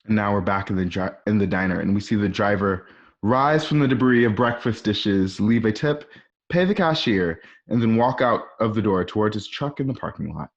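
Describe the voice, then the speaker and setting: A man's voice: fairly low pitch, moderate pace. A man reading scripted scene descriptions as the narrator of an amateur radio play. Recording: dull lo-fi home recording.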